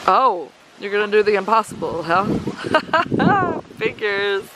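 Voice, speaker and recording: A woman, a little hoarse, chatting upbeat to her camera and kids outdoors in a homestead vlog. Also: medium pitch, sing-song, slow, very noisy. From Southern United States. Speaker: homestead vlogger. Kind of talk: casual vlog chat. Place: outdoors in a backyard.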